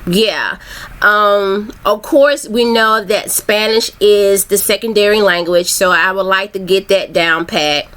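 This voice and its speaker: A bright, clear-voiced middle-aged woman talks casually to her viewers. She rambles in a loose, friendly way, full of ums and fresh starts. She sounds like a self-esteem coach going live. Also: medium pitch, fairly even intonation, takes it slowly. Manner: loose and chatty, many ums and restarts. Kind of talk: casual live video chat.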